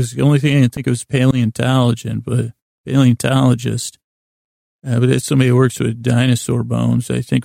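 A man hosting a bedtime podcast in a creaky voice, rambling in a lulling, halting, self-deprecating way. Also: mid-range voice, dark tone, no room sound, studio quality. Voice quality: creaky, gravelly. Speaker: podcast host. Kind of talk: rambling, meandering bedtime monologue.

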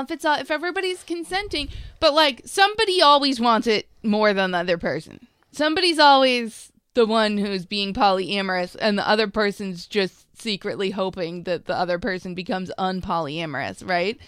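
Female co-host, mid-pitched, reflective and hedging, dry close recording. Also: fairly bright tone, clean tone, no room sound. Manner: musing, hedging with fillers. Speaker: podcast co-host. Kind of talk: casual chat.

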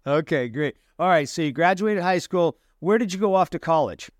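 A male podcast host introduces his guest and asks her friendly questions about her life. His voice sits a little high and sounds crisp, and it is recorded dry and close. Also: animated, moderate pace, no echo. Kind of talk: interview hosting.

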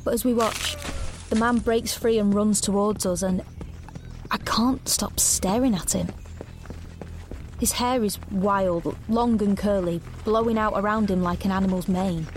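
A woman's voice: medium pitch, fairly even intonation, measured pace, lightly acted. Nervy, watchful young teen played by a woman, hesitant and earnest, dystopian radio drama, noisy ambience. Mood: tense, haunted. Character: anxious, watchful thirteen-year-old narrator in a dystopia. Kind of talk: first-person audio drama narration and dialogue.

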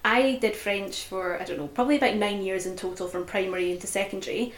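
A woman's voice: mid-range voice, steady tone, quick pace. A young woman from the UK co-hosting a podcast, chatting casually and voicing her opinions emphatically. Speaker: podcast co-host. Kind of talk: casual chat. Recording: roomy echo.

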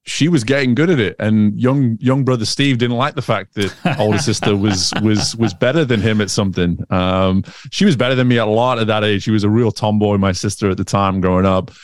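A man's voice: fairly low pitch, a little fast, few pauses, dry recording. A man in his thirties from England, whose Northern English accent has picked up American sounds, chatting as an interview guest with many fillers and restarts. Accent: Northern English with American influence. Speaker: guest, swim coach. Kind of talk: casual interview conversation.

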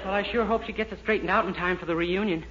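A man's voice: high voice, steady tone, quick pace, over a noisy crowd, natural voice. A man playing a naive, eager, dim-witted young fiancé in a blundering, earnest way, in 1940s radio comedy style. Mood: eager, cheerful. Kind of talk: 1940s radio sitcom acting. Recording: muffled, noisy 1940s radio, studio audience.

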